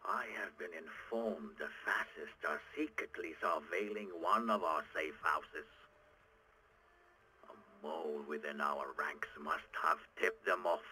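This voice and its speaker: Low, brooding, weary revolutionary leader. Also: male voice, bright, forceful tone, slight background noise, full character voice, poor audio. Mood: angry, bitter. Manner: brooding, deliberate, halting monologue. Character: weary, driven revolutionary leader recording private confessions. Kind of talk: video game audio log acting.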